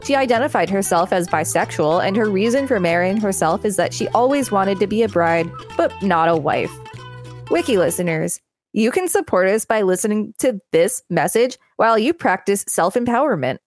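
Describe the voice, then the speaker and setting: A woman co-hosts a podcast in a mid-range voice. She reads aloud and adds casual commentary. Quiet music runs underneath. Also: mid-range voice, lively intonation, normal speed. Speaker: podcast co-host. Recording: music playing behind the voice.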